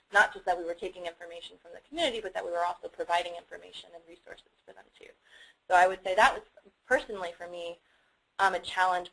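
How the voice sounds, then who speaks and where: A woman's voice: on the low side, rough recording. A woman speaking as a webinar panelist, giving conversational explanations with frequent hesitant ums. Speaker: panelist, climate workshop facilitator.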